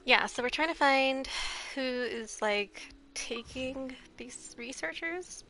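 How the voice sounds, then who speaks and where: Soft-spoken, sleepy woman streamer. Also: sits high, takes it slowly. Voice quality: soft, quiet voice. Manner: holds back volume, chatty with nervous laughs. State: a little sleepy. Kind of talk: casual gameplay commentary. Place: home room, shared house.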